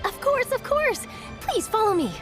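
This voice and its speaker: Eager, high-voiced young reporter. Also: female voice, very animated, moderate pace, music in the background. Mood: eager, persuasive. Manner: courteous, enthusiastic. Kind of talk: video game voice acting.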